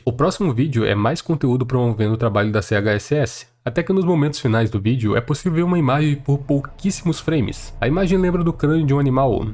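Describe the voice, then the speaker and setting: A man's voice: mid-range voice, darkish tone, slight background noise. A man with a Brazilian accent narrating a scripted explainer in a conversational, explanatory way. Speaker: video essay narrator.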